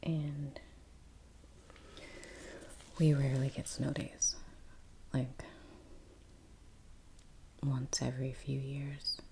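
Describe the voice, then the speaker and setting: A woman speaking in a soft, breathy near-whisper as the host of a relaxing ASMR painting channel. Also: rumbling low, little pitch movement, drawn-out words, long pauses, noisy background. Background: faint ambience, brush sounds.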